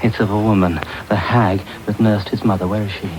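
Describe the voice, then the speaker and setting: An actor playing a sinister, secretive villain, commanding, contemptuous and deliberate, in a radio drama. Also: male voice, on the low side, level tone, darkish tone, natural voice. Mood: bitter, menacing. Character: sinister, secretive villainous man, brusque and menacing. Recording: noisy off-air medium wave radio tape.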